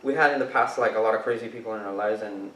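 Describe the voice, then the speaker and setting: A man's voice: on the low side, quick pace, bright, forceful tone. Brazilian-accented man, rambling, roomy mic. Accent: Brazilian Portuguese. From northeast Brazil. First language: Portuguese. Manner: rambling, many fillers and restarts. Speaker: jiu-jitsu instructor, guest. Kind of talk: casual chat. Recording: roomy, distant mic, faint background.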